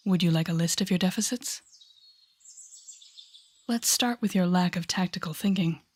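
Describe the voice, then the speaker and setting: Female villain played by an actress for audio roleplay: menacing telepath, breathy lowish voice, mocking, theatrical, taking deliberate pauses. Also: darkish tone, close to an everyday voice. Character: sardonic, menacing telepathic woman villain taunting a rookie hero. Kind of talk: audio roleplay acting addressed to the listener.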